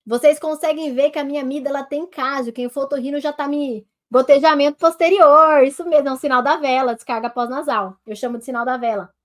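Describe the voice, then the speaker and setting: A Brazilian woman doctor gives an online lesson, her voice pitched high and a little nasal from a head cold. She talks straight to her viewers in a lively, hands-on manner while demonstrating on herself. The sound comes through a simple webcam. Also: fairly quick, few pauses. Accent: Brazilian Portuguese. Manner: lively, addresses viewers directly as a group. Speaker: doctor teaching telemedicine.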